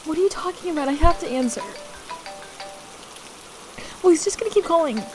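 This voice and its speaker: A woman playing a distraught, trapped partner in an intimate audio roleplay, pleading and halting as she repeats herself. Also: very high-pitched, soft background music. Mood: urgent, evasive. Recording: steady rain noise behind the voice. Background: rain.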